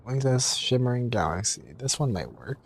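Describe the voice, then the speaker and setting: A man's voice: medium pitch, big pitch swings, brisk, gravelly. A man chatting casually as a game streamer, informal and thinking aloud as he plays. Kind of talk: casual livestream gameplay chat.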